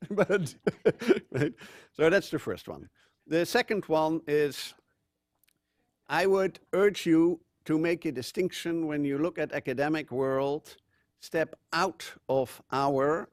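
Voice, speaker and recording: An older man from the Netherlands with a Dutch accent, giving a measured conference talk with frequent fillers. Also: medium pitch, a little mellow, no echo, clean studio sound. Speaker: conference speaker. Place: conference room.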